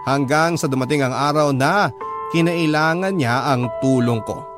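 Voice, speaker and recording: A man's voice: a little high, a little slow, natural voice. A man with a Filipino accent playing a sincere boyfriend, narrating his love story confidingly in a scripted radio drama. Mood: earnest confiding. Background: music under the voice.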